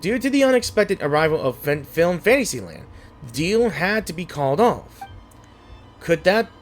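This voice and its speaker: A man's voice: fairly high pitch, very animated, normal speed. A man streaming a game, giving casual playthrough commentary and reading choices aloud. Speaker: gaming streamer. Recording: faint game music behind.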